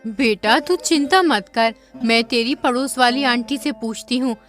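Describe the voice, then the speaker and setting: A woman voicing a gentle, weary poor mother in a cartoon story. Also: a little high, measured pace, soft background music. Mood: soothing. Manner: soothing, weary.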